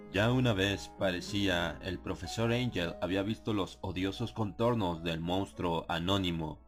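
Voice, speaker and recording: Man, low-pitched voice, horror audiobook narration, solemn and measured literary reading, music underneath. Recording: music behind the voice. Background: atmospheric music.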